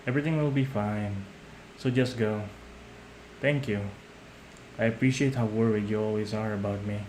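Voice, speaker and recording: Man acting a smooth-talking young mafia heir, roomy echo. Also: on the low side, lightly acted. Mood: polite, soothing. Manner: polite, measured, condescending. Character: young mafia heir, falsely naive and polite, coldly manipulative. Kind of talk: scripted audio roleplay addressed to the listener. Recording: roomy echo, some background noise.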